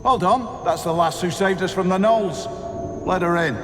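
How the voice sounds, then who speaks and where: A man's voice: high-pitched, normal speed, soft background music, lightly acted. An actor playing a friendly male bandit guard in a video game, plain and folksy. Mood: reassuring. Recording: echoey cavern reverb.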